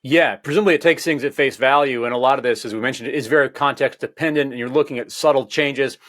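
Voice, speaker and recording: Guy hosting an interview, calm and measured, asks long questions, dry audio. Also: mid-range voice, no echo. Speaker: interview host. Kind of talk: conversational interview.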